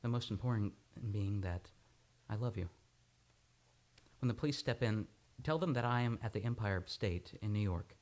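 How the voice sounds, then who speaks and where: A man's voice: fairly low pitch, moderate pace, darkish tone, natural voice. A man acting in an old-time radio drama as an earnest, anguished amnesiac on the run. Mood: tender, resigned. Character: troubled, lovelorn amnesiac man fleeing a murder charge. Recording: live radio play with music behind.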